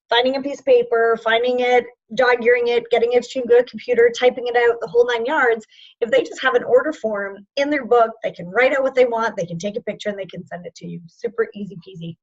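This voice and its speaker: A woman training sales representatives in an online session, informal and encouraging, with a voice that is going hoarse. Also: fairly high pitch, highs cut off. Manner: informal and encouraging, checks in with "okay?". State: losing her voice, hoarse.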